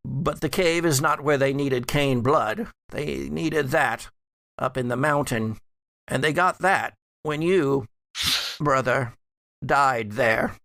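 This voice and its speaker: A creaky, slow-spoken male ghost , acted by a man at a gothic game table, eloquent and bitter. He picks formal words with halting care, in a very dry recording. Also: medium pitch, a bit bright, dry recording. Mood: grave, confessing. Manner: formal, halting, deliberate phrasing. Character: ghostly male ancestor, eloquent, bitter, confessing remnant of himself. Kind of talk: actual-play gothic horror roleplay.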